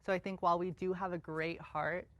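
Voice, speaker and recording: A woman's voice: fairly low pitch, little pitch movement, a little fast, dry recording. A woman from the Philippines speaking up proudly as a game show contestant.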